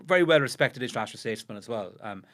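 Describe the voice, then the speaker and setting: Irish guy on a history podcast, rattles along quick, lots of you knows and false starts. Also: medium pitch, expressive, fairly bright tone. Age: under 50. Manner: fast, halting, many restarts and "you know". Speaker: podcast co-host. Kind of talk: casual history discussion.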